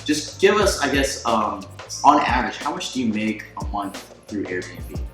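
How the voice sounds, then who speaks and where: A man in his twenties hosting a casual sit-down interview, excitable, laughing often and full of fillers. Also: on the low side, normal speed. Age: 20s. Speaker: host. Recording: echoey room with music behind.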